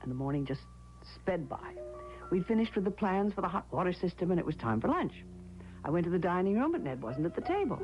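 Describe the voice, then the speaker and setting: An actress playing an anxious, inquisitive, devoted wife in an old radio drama, expressive and earnest. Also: female voice, on the low side, fast talker. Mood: reflective, uneasy. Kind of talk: old-time radio drama acting with first-person narration. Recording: dull old radio with music.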